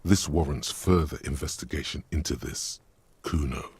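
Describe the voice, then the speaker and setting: A man voicing the grave, knowing inner voice of a detective's mind, in measured scripted video game narration. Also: a bass voice, darkish tone, breathy edge, no echo, exaggerated voice. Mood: dry.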